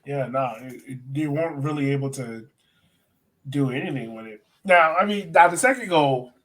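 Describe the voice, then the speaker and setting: A man's voice: mid-range voice, normal speed, fairly bright tone. A man hosting a sports podcast, giving casual, opinionated match analysis in a conversational way.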